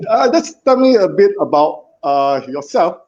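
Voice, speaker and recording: A man hosting a live interview over a video call, speaking politely and haltingly with many fillers. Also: sits high, warm tone. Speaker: host. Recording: dull lo-fi audio.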